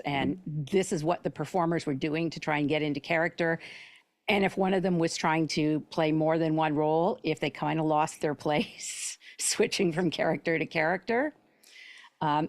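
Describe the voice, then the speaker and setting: A Canadian woman with a very low, gravelly voice talks casually about her own experiences. She has a wry, joking way of putting things, and the sound is close and dry. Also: no room sound. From Canada, Ontario. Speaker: panelist. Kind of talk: casual personal recollection.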